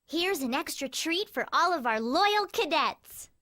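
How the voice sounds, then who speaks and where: A perky, childlike cartoon greeter with a very high, piercingly bright voice. The announcing is exaggerated and swings widely in pitch. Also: animated, unhurried, exaggerated voice. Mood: perky, welcoming.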